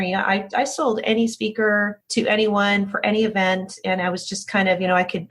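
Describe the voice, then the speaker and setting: A woman in her forties answering as an interview guest, talking conversationally and candidly, often acting out her own thoughts as quick quotes. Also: fairly low pitch. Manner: candid, animated storytelling with self-quotes. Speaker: interview guest, agency founder.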